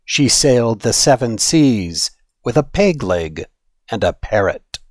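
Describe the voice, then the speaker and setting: A man reading a children's story aloud in an expressive, deliberate storytelling manner. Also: mid-range voice, no echo, studio quality. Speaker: storybook reader.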